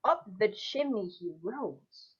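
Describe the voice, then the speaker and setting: A woman's voice: mid-range voice, lively intonation, slow, slightly rough, full character voice. A young woman acting in a radio play as a parent recounting a Christmas Eve visit with dramatic wonder, over a video call. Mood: storytelling. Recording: dull video call audio.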